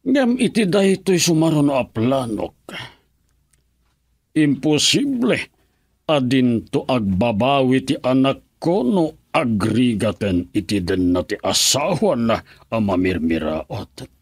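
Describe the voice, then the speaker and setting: Slow, scheming Ilocano father. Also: mid-range voice, sing-song, breathy edge, dry recording, full character voice. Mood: bitter, resolute. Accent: Filipino, Ilocano. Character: scheming, stern father plotting against son-in-law. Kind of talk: radio drama acting.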